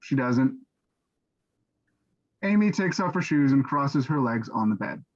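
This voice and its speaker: A man reading scripted stage directions as narrator, in a measured, matter-of-fact way. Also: mid-range voice, expressive. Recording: dull, lo-fi audio.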